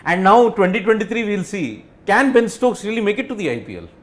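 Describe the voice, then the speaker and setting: A man's voice: sits high, very animated, a little fast. A man, a panelist in a casual live cricket discussion who readily agrees and chimes in. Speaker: sports panelist.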